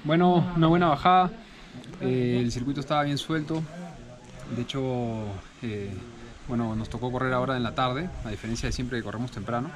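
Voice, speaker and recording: A man, a downhill racer, giving a measured, matter-of-fact account of his race. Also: medium pitch, a crowd in the background. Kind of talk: post-race interview. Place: outdoors under a shelter at a race venue.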